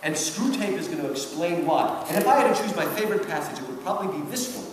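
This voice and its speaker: Animated high-voiced male lecturer, echoing hall. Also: fast. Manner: emphatic, repeats himself, checks in with listeners. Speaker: lecturer giving commentary on a book. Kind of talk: animated public lecture on literature. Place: large hall, public event. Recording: distant, very echoey hall, background noise.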